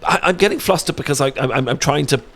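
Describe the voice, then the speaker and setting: A man's voice: fairly high pitch, quick pace, a bit bright. A British man playing a shy, sweet best friend secretly in love, stammering and hesitant in a romantic ASMR audio roleplay. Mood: flustered, nervous.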